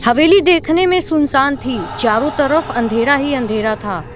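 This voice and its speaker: A woman's voice: sits high, moderate pace. A woman reading scripted narration for a cartoon horror story.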